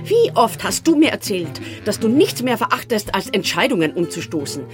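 An actress playing a determined, wronged lover in an absurdist radio drama, insistent and literal-minded. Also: female voice, mid-range voice, sing-song, normal speed, music in the background, lightly acted. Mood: accusing. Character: determined, wronged lover demanding her new life.

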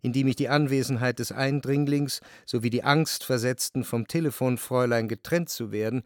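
An actor playing a jealous, introspective lover who narrates in the first person in a measured, deliberate way, in a literary radio drama. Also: male voice, mid-range voice, no echo, studio quality, natural voice. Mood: wry, reflective. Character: jealous, brooding male lover recounting his obsessions. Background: music.

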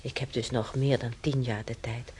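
A woman's voice: very deep voice, little pitch movement, moderate pace, warm tone. An actress playing a chatty, wistful hospital patient in her seventies in a radio drama, rambling and homely as she reminisces. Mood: fond, proud. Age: 70s. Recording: noisy old radio play recording.

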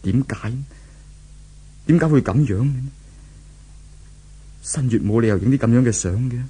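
An actor playing a brooding, distraught young office worker in a 1970s Cantonese radio drama, with a husky voice and a Hong Kong accent. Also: male voice, mid-range voice, normal speed, warm tone, close to an everyday voice. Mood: anguished disbelief. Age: young adult.

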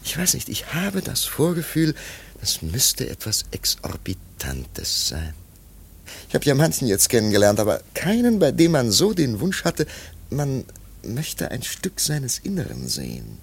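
A reflective, admiring former pupil in a radio drama. His voice is husky and creaky, taking its time with halting fervour and wide melodic swings. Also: mid-range voice, wildly swooping pitch, full character voice. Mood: musing. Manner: halting, musing, fervent.

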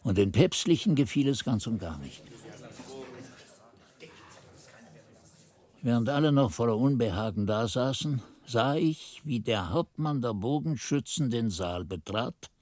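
Man as husky, earnest monk narrator. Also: mid-range voice, animated, darkish tone, natural voice. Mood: grave, tense. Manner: measured literary storytelling, rueful. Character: earnest novice monk recounting his memories, reflective, anxious. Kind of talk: scripted radio drama narration.

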